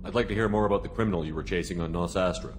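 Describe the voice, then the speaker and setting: An actor playing a direct, businesslike military commander in a video game, speaking plainly and asking pointed questions. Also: male voice, low voice, very fast, background noise, natural voice. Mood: businesslike, probing. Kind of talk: video game dialogue acting.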